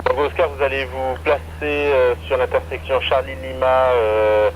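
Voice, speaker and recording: A man working as an air traffic controller, giving instructions over aviation radio with hesitant, halting phrasing. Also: mid-range voice, little pitch movement. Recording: noisy aviation radio with aircraft drone.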